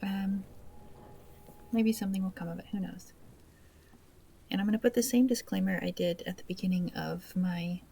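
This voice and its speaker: A woman's voice: medium pitch, fairly even intonation, moderate pace, gravelly, pauses often, warm tone, slight background noise. A woman hosting a gaming video with casual, awkward, self-deprecating commentary, speaking hesitantly. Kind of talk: casual let's play commentary.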